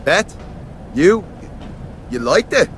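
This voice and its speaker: A man's voice: sits high, big pitch swings, slow pace, heavily acted. A man playing a brawny, lovestruck wrestling brawler in a scripted game cutscene, with noise behind him.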